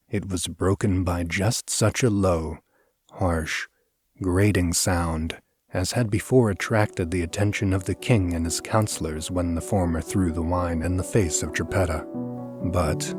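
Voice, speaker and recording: A low-pitched male audiobook narrator reads a gothic story in a measured, deliberate way. His delivery is scripted and dramatic, with music clearly playing behind the voice. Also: a little mellow.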